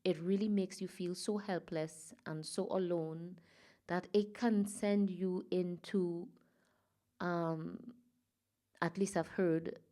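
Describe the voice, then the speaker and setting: A woman from Trinidad and Tobago, a guest in an interview, talking softly and reflectively with many pauses and fillers. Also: fairly low pitch, steady tone. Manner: soft, reflective, halting with fillers. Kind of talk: personal interview.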